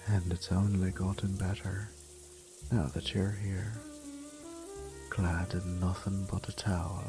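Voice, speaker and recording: A man's voice: deep voice, little pitch movement, slow, over music, rough recording. A man playing a charming reformed thief and flirtatious, tender fiancé in an intimate romantic audio roleplay, murmuring and dropping into whispers. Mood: flirty, teasing.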